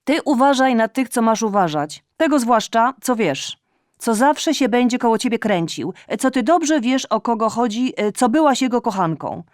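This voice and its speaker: A woman voicing a half-deaf, nagging older mother who shouts down the phone. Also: fairly high pitch, animated, moderate pace, no room sound, clean studio sound, voice pushed up, close to an everyday voice. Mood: warning.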